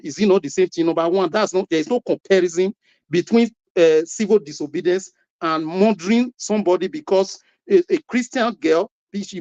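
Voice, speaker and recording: A man's voice: high voice, darkish tone. A man with a Nigerian accent arguing on a call-in broadcast, firing rhetorical questions and repeating words as he presses each point. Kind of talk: heated debate contribution. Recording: lo-fi online call audio.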